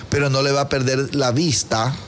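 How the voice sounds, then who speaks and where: A man's voice: medium pitch, level tone, normal speed, slight background noise. A man with an Ecuadorian accent hosting casual banter and informal sports talk in a teasing, colloquial way. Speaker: radio show host.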